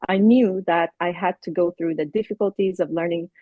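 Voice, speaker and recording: A woman from Iran whose first language is Persian, the guest in an interview, speaking in a thoughtful, measured way. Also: fairly low pitch, no room sound. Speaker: keynote guest, associate professor. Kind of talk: relaxed interview conversation. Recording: tinny phone-like line, dry.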